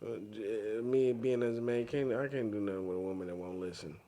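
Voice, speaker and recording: A man's voice: fairly low pitch, fairly even intonation, fairly quick, mellow. A man giving his opinion in a casual podcast panel chat.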